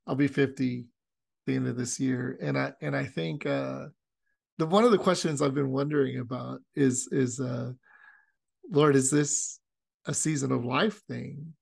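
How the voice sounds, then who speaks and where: A man in his late forties hosts a faith conversation in an earnest, musing way. His mid-pitched voice is heavily creaky, and he offers soft murmurs of agreement while the guest talks. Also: steady tone, unhurried. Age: 40s. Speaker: podcast host. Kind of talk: conversational interview.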